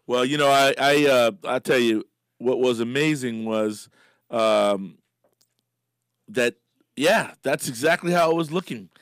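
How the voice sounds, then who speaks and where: Smooth-voiced middle-aged man, halting and emphatic. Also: medium pitch, fairly bright tone, no echo. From Miami. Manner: halting, many fillers and restarts, emphatic. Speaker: radio guest, business leader. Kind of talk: casual radio interview. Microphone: studio microphone.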